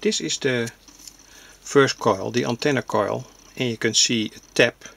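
A man with a creaky voice gives a halting, unscripted technical demonstration, explaining things plainly. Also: medium pitch, a bit bright. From Netherlands. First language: Dutch. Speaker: hobbyist radio builder, presenter. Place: indoors at a home workbench. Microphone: handheld camera's built-in microphone.

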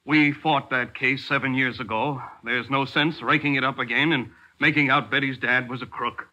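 An actor playing a gruff, defensive husband in a 1940s radio drama, blustering and clipped. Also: male voice, mid-range voice, steady tone, moderate pace, sharp tone, highs cut off. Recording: hissy 1940s radio broadcast.

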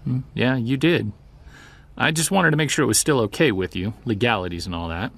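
An actor playing an earnest, wry Sasquatch investigator who records a conversational field journal for an audio drama. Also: male voice, mid-range voice, brisk, gravelly, fairly bright tone. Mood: probing.

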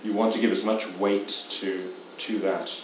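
A very low-voiced Irish man in his fifties answers a panel question haltingly, full of ums and repeated words. His voice booms around a large hall from a distant mic, with clear room noise underneath. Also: flat delivery. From Ireland. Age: 50s. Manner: hesitant, many fillers and repeats. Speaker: poet. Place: stage in a dim theatre hall. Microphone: far camera. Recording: echoey hall from far off.

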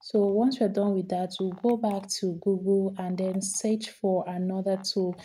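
A woman talking through a screen-recorded software tutorial, step by step, repeating each action as she does it. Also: medium pitch, flat delivery, measured pace. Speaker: tutorial host.

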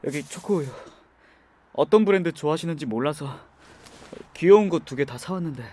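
A young man voicing a kind, soft-spoken driver in an ASMR audio drama, talking gently and comfortingly. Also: on the high side, lively intonation, brisk. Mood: gentle, comforting.

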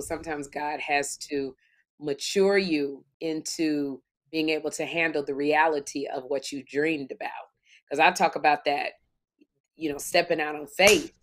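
A woman in her fifties, co-hosting a podcast, with a deep voice, telling stories animatedly and acting out what people say. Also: moderate pace. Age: 50s. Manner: animated storytelling, acts out quotes. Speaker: podcast co-host. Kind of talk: casual faith-filled chat.

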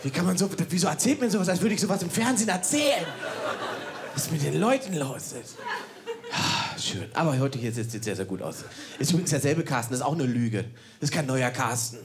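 A man's voice: a little high, sing-song, brisk, a crowd in the background. A man in his thirties from East Germany doing a sarcastic, ranting stand-up set on a handheld mic in a small cellar club. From Saxony-Anhalt, East Germany. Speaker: stand-up comedian. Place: small cellar comedy club with live audience. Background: audience laughter.

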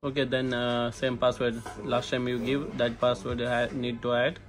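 A man who is not a native English speaker gives a hands-on setup walkthrough in short, halting phrases with frequent okays. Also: mid-range voice, level tone, over music. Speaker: tutorial presenter, technician. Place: indoor shop or office. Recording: noisy room, clear background noise.

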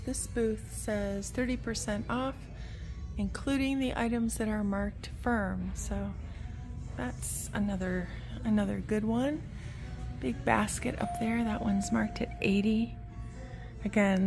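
Woman, antique mall walk-through, very slow meandering talk, faint store music behind, phone recording. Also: mid-range voice, vocal fry. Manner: slow, meandering, unhurried with frequent fillers. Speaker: antiques vlogger. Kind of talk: casual walk-through commentary. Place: large indoor antique mall. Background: store music playing faintly.

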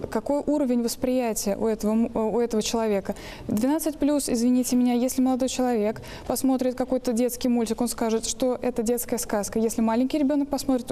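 A woman's voice: a little high, mellow, slight background noise. A young woman explaining her view as an expert on a studio panel.

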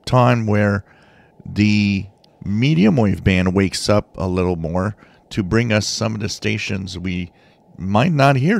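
A man with a low, gravelly voice talks through his subject informally as a channel host. His delivery is halting, with stretched words and a fry-laden edge. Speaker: radio hobby channel host. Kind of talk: informal explanatory commentary over a screen share.

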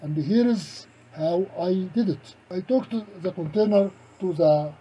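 A truck driver recounting events in a halting, repetitive vlog style, often acting out both sides of conversations. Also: medium pitch, background noise. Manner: halting, repetitive telling with quoted dialogue.

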